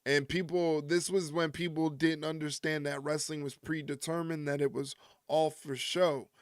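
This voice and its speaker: Guy rambling on his stream, kinda high voice, dry sound, jokey. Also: flat delivery, moderate pace, no room sound. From United States. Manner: rambling, jokey, chuckles often, answers chat. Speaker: wrestling stream host. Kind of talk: casual live-stream chat with viewers.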